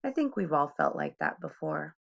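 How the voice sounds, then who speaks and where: A woman's voice: low-pitched, huge pitch swings, normal speed, lo-fi sound. A woman reading a children's storybook aloud expressively, speaking directly to young listeners as a school staff member would.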